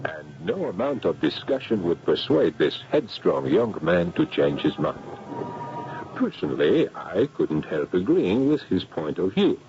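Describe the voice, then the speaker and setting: Deep-voiced, practical Frenchman. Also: very animated, unhurried, gravelly, a little mellow, full character voice. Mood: dry, dismissive. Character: practical, skeptical, weary Frenchman telling his tale. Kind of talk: 1970s radio mystery acting and narration. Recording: muffled 1970s radio recording, faint hiss.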